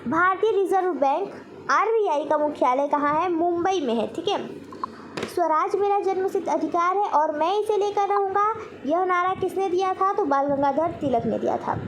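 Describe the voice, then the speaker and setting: A woman's voice: very high-pitched, moderate pace, noisy background. A woman with an Indian accent teaching a quiz-style lesson, posing questions and answering them in an instructional way. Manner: instructional, asks each question then answers it, repeats key points. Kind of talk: general knowledge quiz lesson for exam students.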